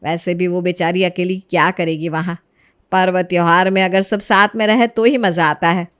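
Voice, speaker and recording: A woman's voice: fairly low pitch, steady tone, moderate pace, a bit bright, smooth, close to an everyday voice. A woman voicing a kindly middle-aged mother-in-law, patient and soothing, in an acted storybook tale. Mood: indulgent. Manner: indulgent, soothing. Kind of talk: acted Hindi storybook narration.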